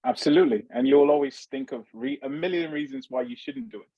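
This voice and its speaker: A man's voice: medium pitch, huge pitch swings, fast talker, creaky, no echo, highs cut off. A man hosting an online webinar interview, asking guests friendly, encouraging questions over a video call. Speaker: webinar host.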